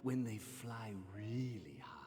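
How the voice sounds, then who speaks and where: A wise older guardian in a game story scene, softly patronising and kindly. His delivery is slow and deliberate with lively swings of pitch. Music plays clearly behind the voice. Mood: musing. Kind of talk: video game cutscene acting. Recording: long reverb, music behind.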